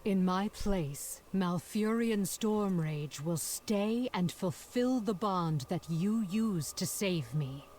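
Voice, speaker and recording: A woman's voice: medium pitch, lively intonation, slow, few pauses, a little mellow, slight background noise. An actress playing a noble, resolute female dragon leader in a fantasy video game, formal and earnest.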